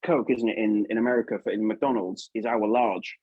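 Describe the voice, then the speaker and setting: A man's voice: on the low side, steady tone, a little fast, husky, dry recording, lo-fi sound. A man with an English accent chatting casually as an interview guest, informal and often tagging questions onto his remarks. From England. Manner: informal, chatty, seeks agreement with tag questions. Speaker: guest puppeteer.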